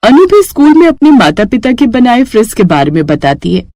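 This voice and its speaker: A woman's voice: on the high side, very animated, a little fast, mellow, no echo, studio quality. A woman storyteller reading a scripted moral story for children.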